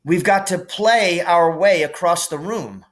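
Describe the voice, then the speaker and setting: A man voicing a frank, thoughtful boy strategist who explains and gives instructions deliberately in a storybook reading. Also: high-pitched, voice pushed up.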